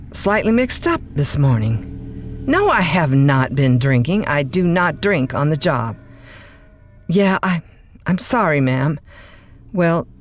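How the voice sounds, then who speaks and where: Flustered, brash overnight radio disc jockey. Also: female voice, low voice, darkish tone, a little hiss or hum. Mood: strained politeness. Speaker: radio host. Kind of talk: radio drama acting, old-time radio patter.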